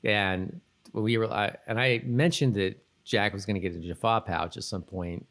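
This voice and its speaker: Low-pitched middle-aged man chatting, hesitant and rambling. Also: moderate pace, fairly bright tone. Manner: hesitant, rambling, thinking aloud. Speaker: podcast co-host. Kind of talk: casual TV episode recap chat.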